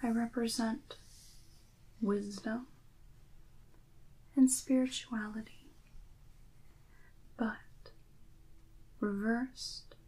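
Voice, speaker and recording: A woman playing a serene, regal priestess from a tarot deck, in a breathy, mostly whispered, stately ASMR roleplay delivery. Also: a little high, steady tone, a little mellow, exaggerated voice, low-quality recording. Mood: serene, mysterious. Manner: stately, deliberate, mysterious.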